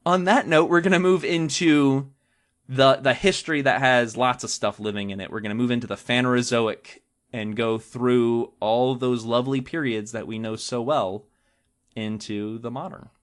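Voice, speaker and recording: A man's voice: mid-range voice, fairly even intonation, normal speed. Man explaining science in casual podcast talk. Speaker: podcast host explaining natural history.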